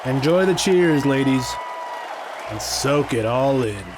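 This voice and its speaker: A man's voice: medium pitch, animated, slow, crowd noise, background noise. A man playing a suave, commanding magician in an audio drama. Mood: grand, assured. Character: suave, composed, commanding male magician named Rao.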